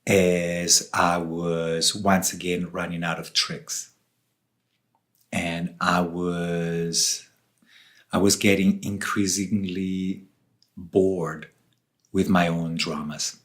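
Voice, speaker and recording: A man from southern Switzerland giving reflective interview answers as a guest, speaking deliberately in short phrases with pauses. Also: low-pitched, little pitch movement, a bit bright. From Switzerland, southern part. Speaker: author and ayahuasca facilitator, interview guest.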